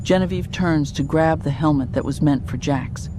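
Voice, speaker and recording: A narrator reading a scripted audio drama in a measured, descriptive storytelling manner. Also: mid-range voice, noisy background.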